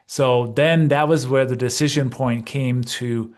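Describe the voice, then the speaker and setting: A man's voice: mid-range voice, fairly even intonation, measured pace, a little mellow. A man in his fifties, originally from Denmark, chatting easily as an interview guest and peppering his talk with "you know" and "right?". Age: 50s. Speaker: guest, real estate investor and coach. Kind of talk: casual interview conversation.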